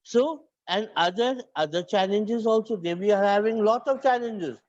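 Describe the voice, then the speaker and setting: A man's voice: high voice, normal speed, a little mellow, no room sound, dull audio. A man with a north Indian accent lecturing a class, switching between English and Hindi and often restarting his phrases. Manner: repeats and restarts phrases, dictates points to students. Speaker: teacher.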